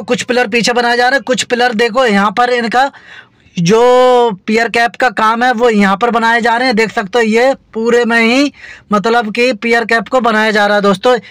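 A high-pitched man from India gives a chatty, repetitive tour of roadwork, talking to his viewers like friends. His voice is dry and close on a phone camera outdoors as he rides along. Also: fairly even intonation, moderate pace, fairly bright tone, dry recording. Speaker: vlogger. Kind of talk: narrating a construction tour from a moving vehicle.

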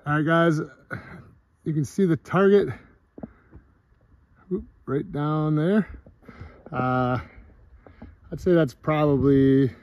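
A man's voice: on the high side, slow pace, pauses often, a little mellow, highs cut off. A man hosting an outdoor vlog, chatting casually to the camera. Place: outdoors at a winter campsite. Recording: noisy outdoor camera audio.

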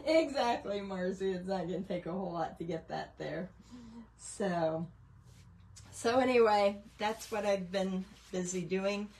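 Casting: woman, mid-pitched and unhurried, rambling casual painting teacher for a craft livestream. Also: darkish tone. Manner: rambling, chatty show-and-tell. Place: indoors at a craft table.

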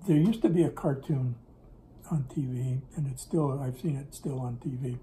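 A man's voice: mid-range voice, moderate pace, warm tone, slight background noise. An older man telling stories in a casual interview at his kitchen table, rambling and correcting himself as he goes, recorded on a camera set on the table. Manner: rambling, self-correcting storyteller. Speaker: interviewee recalling business in Russia. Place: home kitchen table, indoors. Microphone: camera on table in front.

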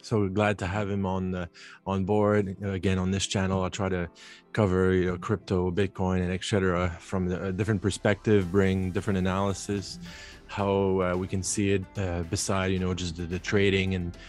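Man, thirties, deep voice, halting casual host, desk mic, music behind. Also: level tone, a little mellow. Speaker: show host. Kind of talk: casual interview, channel intro. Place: small home office, indoors, video call. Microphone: desk microphone close in front.

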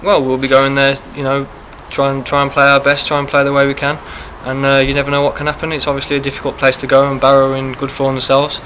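Young male footballer, post-match chat, quick and even, close handheld mic, faint hiss. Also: medium pitch. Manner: quick, steady answers with many fillers. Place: press backdrop, indoors. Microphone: handheld mic held close.

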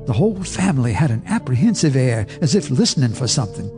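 An actor narrating as an old neighbor recalling dark events, grave and measured, in a radio drama. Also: male voice, mid-range voice, very animated, over music, natural voice. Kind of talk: scripted storytelling narration.

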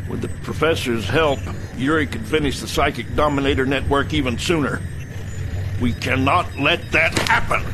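An actor as a folksy American army general. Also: male voice, mid-range voice, moderate pace, noisy background, close to an everyday voice. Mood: urgent. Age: middle-aged. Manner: folksy, blustery military briefing. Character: gruff, folksy middle-aged male American army general. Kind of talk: video game cutscene acting.

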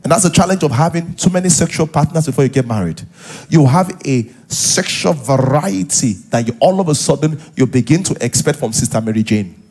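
A middle-aged man with a Nigerian accent and a husky voice, preaching as a pastor to a live congregation in an animated, conversational way, full of interjections and rhetorical questions. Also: on the high side, brisk. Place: large church auditorium, on stage. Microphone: headset.

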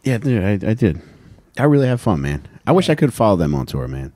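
An American man co-hosting a podcast, chatting casually and comedically with animated, sweary storytelling into a close handheld mic. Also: fairly low pitch, brisk, no room sound. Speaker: podcast co-host. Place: small indoor podcast room with couches. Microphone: handheld microphone held close.

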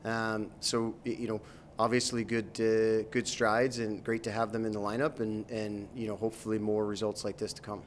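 Low, flat-voiced man at post-game interview. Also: slight background noise. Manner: measured, many fillers and restarts. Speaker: hockey head coach. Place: arena interview backdrop, indoors. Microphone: handheld mic held by interviewer.

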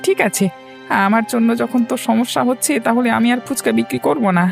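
A humble, hardworking older brother from a cartoon moral tale, acted by a man. He speaks quickly with a creaky edge, earnest and a little plaintive. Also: extremely high pitch, faint music behind, exaggerated voice. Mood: hurt, resigned. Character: humble hardworking older brother who sells street snacks. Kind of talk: cartoon moral story voice acting.

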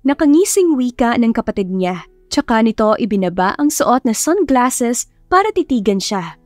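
A woman's voice: on the high side, very animated, moderate pace, dry recording. A woman with a Filipino accent reading a romance story as scripted, dramatic audiobook narration. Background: soft background music.

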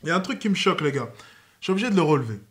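A man's voice: on the high side, sing-song, racing. Young man teasing on a stream. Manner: teasing, repeats "cap" to tease. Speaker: streamer host. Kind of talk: casual chat on a livestream. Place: indoor living room set. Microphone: headset with desk microphone.

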